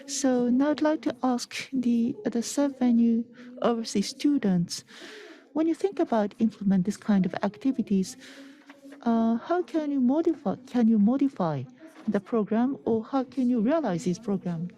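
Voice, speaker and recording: A woman moderating a panel discussion, polite and measured, often thanking the speakers. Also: on the high side, expressive, mellow, low-quality recording. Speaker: session moderator.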